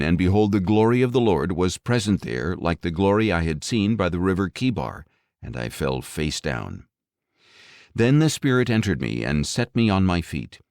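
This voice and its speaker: A man narrating scripture for an audiobook in a solemn, measured, dramatic way. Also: sits low, a little mellow, no echo, clean studio sound.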